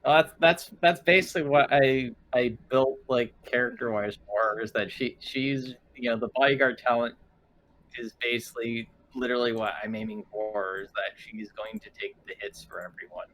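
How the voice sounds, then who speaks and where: A man chatting casually as a game player, halting and often repeating his words as he reasons. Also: medium pitch, steady tone, fairly bright tone, poor audio. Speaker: player in a tabletop roleplaying game. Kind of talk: casual chat.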